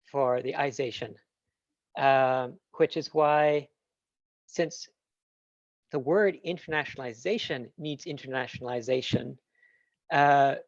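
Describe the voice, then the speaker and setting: A man's voice: a little high, no echo, lo-fi sound. A Canadian man answering questions after a tech talk, thinking aloud with fillers and small laughs over a video call. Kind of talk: casual Q&A answers.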